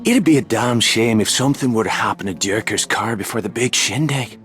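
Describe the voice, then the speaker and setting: An actor playing a brash, cheeky Irish racing driver in a video game, sardonic and rough, with an Irish accent. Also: male voice, on the low side, a little fast, a bit bright, faint music behind, lightly acted. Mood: cocky, mocking. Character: brash, foul-mouthed Irish racing driver, cocky and vengeful.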